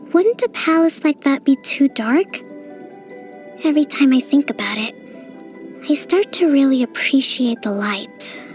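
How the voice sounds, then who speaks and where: Game character: a composed young woman priestess-tactician, high and gentle, with faint music underneath. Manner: gentle, composed, softly playful. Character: young female island priestess and strategist, calm and composed. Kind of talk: game voice lines.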